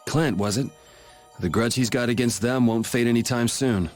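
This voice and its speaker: A man's voice: mid-range voice, normal speed, soft background music, natural voice. A man playing a cool-headed, plain-spoken young party leader in an English game dub, casual and matter-of-fact. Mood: calm, resolute.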